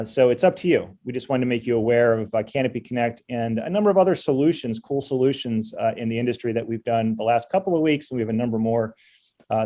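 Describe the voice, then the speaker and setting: A middle-aged man with a mellow, warm voice hosts a casual webinar over a video call. He talks in a relaxed, chatty way, with plenty of fillers, at a middle pitch. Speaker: webinar host.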